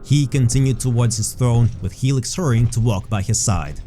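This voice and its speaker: A man narrating a scripted fantasy story as a measured storyteller. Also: medium pitch, dark tone. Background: background music.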